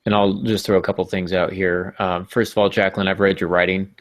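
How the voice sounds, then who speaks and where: A man's voice: sits low, little pitch movement, brisk, no echo. A man hosting a podcast, talking informally and jokily as he gives writing advice. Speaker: podcast host.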